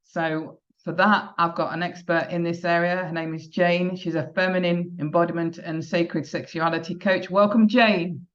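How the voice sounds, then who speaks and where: A woman's voice: fairly low pitch, fairly even intonation, moderate pace, few pauses, lo-fi sound. A woman hosting a podcast, interviewing a guest, talking hesitantly with many fillers and restarts. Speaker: podcast host.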